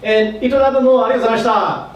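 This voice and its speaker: A man's voice: very high voice, rapid-fire, lo-fi sound. A man moderating a community panel, upbeat and encouraging. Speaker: event moderator. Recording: very echoey hall, distant mic, crowd.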